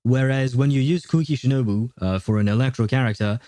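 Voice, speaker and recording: A man livestreaming a game, chatting casually with viewers and explaining things step by step with hesitant pauses. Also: medium pitch, warm tone, no echo. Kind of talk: casual gaming livestream chat, answering viewer questions.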